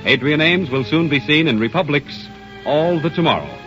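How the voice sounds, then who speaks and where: A man's voice: a little high, lively intonation, moderate pace. An American man reading scripted announcements and commercials as a 1930s radio announcer. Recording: dull 1930s radio recording with music.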